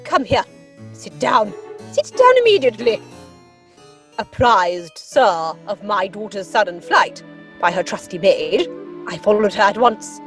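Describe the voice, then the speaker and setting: A woman's voice: mid-range voice, sing-song, a little slow, soft background music. A woman playing an imperious, indignant older aristocratic mother in a period stage comedy, with a pompous, commanding manner. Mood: stern, indignant. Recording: dry home recording over video call.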